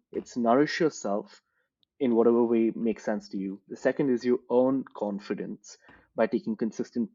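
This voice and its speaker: A man working as a career coach, answering interview questions calmly and fluently. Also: medium pitch, muffled recording. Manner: calm, fluent, explanatory.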